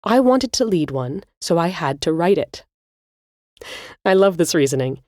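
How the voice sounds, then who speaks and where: A woman's voice: fairly low pitch, big pitch swings, unhurried, a little mellow, dry recording, clean studio sound. A woman hosting a podcast, narrating scripted music history in an articulate, explanatory way. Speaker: podcast host.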